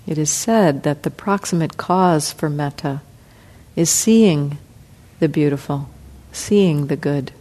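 A woman teacher leading a guided meditation, speaking softly and calmly with long pauses. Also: sits low. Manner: slow, soft, calm, with long pauses.

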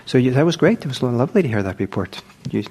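Older man, meditation teacher, soft-spoken, gentle, unhurried, husky. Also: medium pitch, big pitch swings. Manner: gentle, unhurried, with many restarts. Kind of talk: dharma talk with Q&A. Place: meditation hall.

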